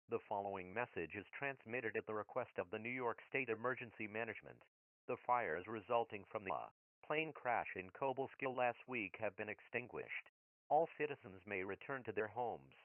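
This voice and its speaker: A man voicing an official emergency alert announcer, formal and measured, reading a scripted broadcast message. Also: fairly low pitch, no echo, narrow, tinny audio, lightly acted.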